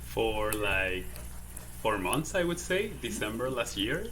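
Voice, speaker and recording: Chilean man, bright voice, hesitant. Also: fairly low pitch, big pitch swings, slow, a little hiss or hum. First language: Spanish. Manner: hesitant, says "okay?" often. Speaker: wellness coach, guest. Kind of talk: casual livestream interview.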